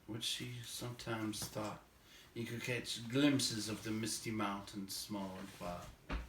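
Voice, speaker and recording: A man's voice: lowish voice, a bit bright. Man reading a storybook in an echoey room. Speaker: audiobook reader. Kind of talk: storybook narration, read aloud. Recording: roomy echo, distant microphone.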